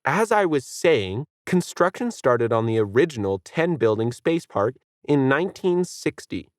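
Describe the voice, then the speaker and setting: Man playing an irritated female tour guide, raised pitch. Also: sing-song, a little slow, dry recording, clean studio sound. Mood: strained politeness. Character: annoyed woman tour guide, curt, falsely polite.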